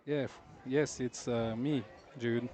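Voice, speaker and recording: A man with a French accent calls a pool match in a relaxed, joking way. He speaks at an ordinary middle pitch and often stops and restarts his sentences. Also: slow. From France. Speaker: pool commentator. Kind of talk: casual live commentary.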